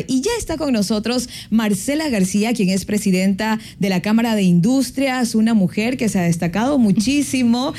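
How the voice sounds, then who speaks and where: A woman's voice: fairly high pitch, a little mellow. A woman with an Ecuadorian accent hosting a local broadcast interview, effusive and celebratory. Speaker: interview host.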